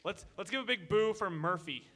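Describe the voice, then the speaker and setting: A man's voice: sits high, animated, normal speed, heavy background noise. A man talking casually as a panelist in front of a convention audience. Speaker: convention panelist from a radio drama team. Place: convention meeting room.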